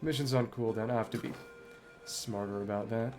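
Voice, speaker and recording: A man giving casual solo commentary as a game streamer, musing aloud in short, halting remarks. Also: mid-range voice, fairly even intonation, music in the background.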